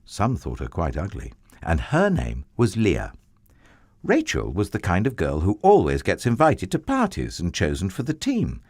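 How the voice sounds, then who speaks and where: A man narrates a Bible story for children in a fairly low voice. His pitch swings dramatically, and he draws out key words for theatrical emphasis. The delivery is unhurried and expressive, recorded very dry. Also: slightly rough, no echo.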